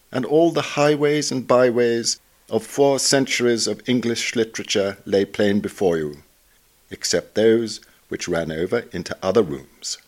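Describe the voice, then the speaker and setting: Male narrator, lower-pitched, for a formal, measured reading of a literary obituary. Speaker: reader, narrator.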